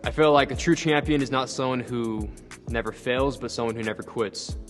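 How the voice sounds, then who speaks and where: A man's voice: mid-range voice, moderate pace. A man, telling his own story in a casual interview and often saying "like" and "you know.". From Seattle, Washington. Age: 20s. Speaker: bodybuilder and actor. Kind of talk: personal interview monologue. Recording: light music behind.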